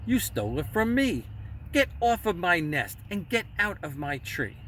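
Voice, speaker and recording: A man's voice: high-pitched, very animated, moderate pace, pushed and bright, exaggerated voice. A man voicing a selfish, lazy female bird in a children's storybook, exclamatory and indignant. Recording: distant mic with faint background noise.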